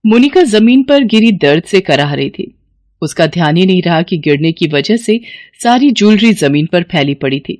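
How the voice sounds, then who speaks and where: A woman's voice: fairly low pitch, animated, moderate pace, darkish tone, dry recording, studio quality. A woman reading scripted moral-story narration as the storyteller.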